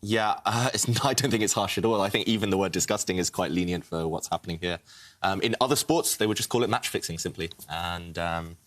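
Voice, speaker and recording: A man's voice: deep voice, a little fast. A man commentating on a live chess broadcast in a frank, conversational way.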